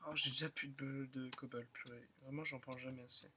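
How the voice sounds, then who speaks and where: A young man who is still in school, slightly hoarse, rambling and thinking aloud with many fillers as he comments on his own gameplay. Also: mid-range voice, little pitch movement, fairly quick, background noise. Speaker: gaming channel host. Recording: loud keyboard and mouse clicking.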